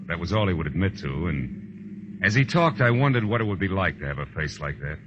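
Low-voiced hardboiled radio private eye. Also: male voice, big pitch swings, a little fast, noisy background. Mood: wry, musing. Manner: wry, hardboiled, clipped. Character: tough, cynical male private eye narrating his case. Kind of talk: 1940s radio drama acting. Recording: muffled vintage radio recording with music.

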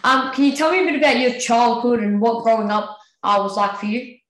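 A woman's voice: on the high side, a little fast, fairly bright tone. A woman asking interview questions briefly, with short encouraging backchannels. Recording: roomy echo.

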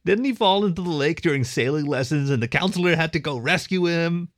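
Guy doing a snooty ferret girl, almost a teen, huffy, raised pitch, storybook. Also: expressive, a little fast, no room sound, studio quality, close to an everyday voice. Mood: scornful. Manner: haughty, huffy. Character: haughty preteen female ferret, commanding.

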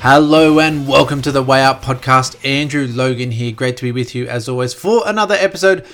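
A man hosting a solo podcast, talking in an upbeat, motivational coaching tone with a slightly croaky voice. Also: mid-range voice, fairly quick, fairly bright tone, soft background music. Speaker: podcast host.

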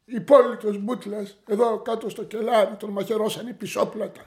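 A rattled, high-voiced brother. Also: male voice, steady tone, a little mellow. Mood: shaken. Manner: stammering, correcting himself. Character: shaken man whose brother was murdered. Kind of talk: radio play acting.

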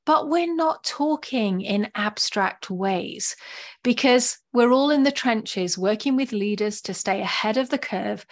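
A woman's voice: a little high, expressive, unhurried. A woman hosting a podcast in an upbeat, effusive way, laughing often. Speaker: podcast host.

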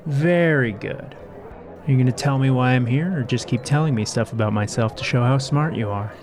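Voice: medium pitch, a little fast, a crowd in the background, close to an everyday voice. A performer playing a guarded, sardonic woman spy in an audio drama, terse, dry and unimpressed.

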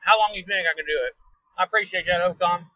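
A man's voice: high voice, fast talker, pushed and bright, a little hiss or hum, rough recording. A man with a put-on Southern hillbilly accent, rambling and swearing in casual livestream chat. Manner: rambling, profane. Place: inside a pickup truck.

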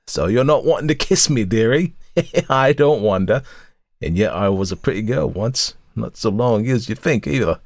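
A man's voice: fairly low pitch, expressive, normal speed, no room sound, clean studio sound, pitched above the performer's own voice. A man reading aloud, voicing a scarred, cunning old woman with a wheezing, wheedling voice. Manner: leering, wheedling, calls everyone dearie.

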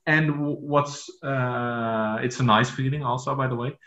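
A man's voice: mid-range voice, fairly even intonation, a little slow, pushed and bright. Hesitant Dutch man, roomy muffled sound. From Netherlands. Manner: hesitant, many fillers and restarts. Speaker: author guest. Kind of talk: podcast interview.

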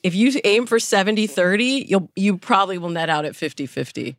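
A woman's voice: medium pitch, brisk, dry recording, clean studio sound. A woman speaking as a dating coach and interview guest, explaining her advice conversationally with illustrative example phrases.

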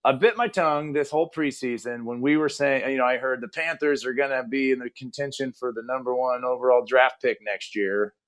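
A man's voice: medium pitch, a little fast, hardly any pauses, a bit bright. A football coach with a scratchy voice, chatting upbeat and casual about his team on a regular sports segment.

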